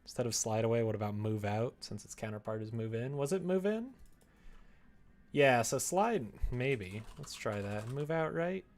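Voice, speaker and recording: A man's voice: mid-range voice, lively intonation, moderate pace, a little mellow. A man streaming casually, talking to himself in a giggly, playful way as he works. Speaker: streamer working on a visual novel. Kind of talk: casual livestream chat, thinking aloud while working.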